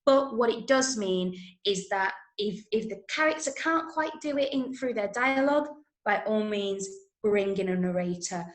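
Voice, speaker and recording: A woman's voice: mid-range voice, lively intonation, normal speed. A woman from Manchester with an English accent, teaching a script-writing workshop and often checking that listeners follow. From Manchester, England. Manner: explanatory, checks understanding with questions. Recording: roomy, echoing room sound.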